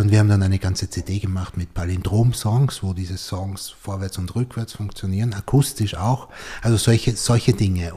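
Male podcast host, low dark husky voice, circles around his questions. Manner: asks rambling, reformulated questions with many restarts. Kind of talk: interview conversation.

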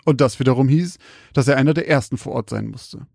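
Creaky-voiced man, close and dry. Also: medium pitch, fairly quick, a little mellow, no echo. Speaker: podcast host and storyteller. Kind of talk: casual banter and scripted horror storytelling.

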